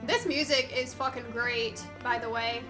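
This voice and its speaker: A woman's voice: a little high, steady tone, unhurried, over music. A woman giving casual, informal, reactive gameplay commentary as the host of a Let's Play.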